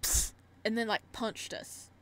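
A woman giving casual let's-play commentary and reading game text aloud, with an excitable, reactive manner. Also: measured pace, low-quality recording. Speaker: gaming streamer.

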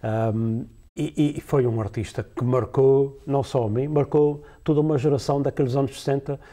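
This is a man in his sixties with a European Portuguese accent and a warm, mellow tone of middling pitch. He talks slowly and haltingly in a studio interview, with many fillers, restarts and easy laughs. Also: fairly even intonation. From Azores, Portugal. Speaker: musician guest. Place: TV studio set, seated in a leather chair.

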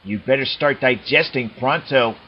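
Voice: mid-range voice, normal speed, lo-fi sound, close to an everyday voice. A performer voicing a cocky, wisecracking male blue hedgehog hero in a fan dub of a cartoon, with music behind.

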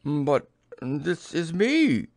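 A man's voice: low-pitched, huge pitch swings, slow pace, a little mellow, dry recording, studio quality, voice pushed down, full character voice. A man voicing a simple, friendly toy bear in a storybook, ponderous and earnest.

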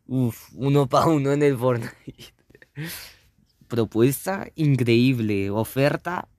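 Unhurried man, dry close recording. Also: medium pitch, darkish tone, no room sound. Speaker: reaction video host. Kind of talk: casual reaction commentary.

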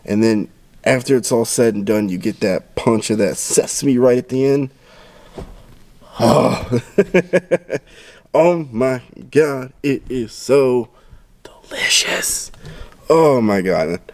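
A man's voice: medium pitch, lively intonation, takes it slowly, long pauses, darkish tone, dry recording. A man in his thirties giving casual, enthusiastic cooking tips with lots of ums, recorded close on a camera at arm's length. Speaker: home cooking vlogger. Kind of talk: casual cooking instructions and tasting. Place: parked car and home dining room.

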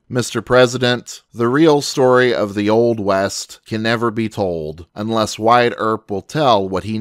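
A man's voice: on the low side, no room sound, clean studio sound. A man delivering scripted historical documentary narration as the narrator.

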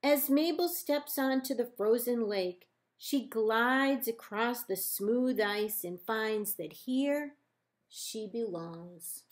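A woman librarian reading a children's picture book aloud in measured, deliberate phrases with pauses. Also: on the high side. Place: indoors, book held up to camera.

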